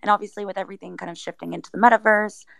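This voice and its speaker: Woman guest explaining stuff casually, lots of vocal fry, close dry sound. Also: mid-range voice, fairly even intonation, very fast, no echo. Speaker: project team member, interview guest. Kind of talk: casual explanatory interview talk.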